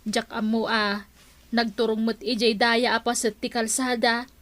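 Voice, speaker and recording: An actress with a Filipino Ilocano accent playing a chatty, coaxing village neighbour in a radio drama. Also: female voice, fairly high pitch, level tone, unhurried, a bit bright, no room sound. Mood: concerned.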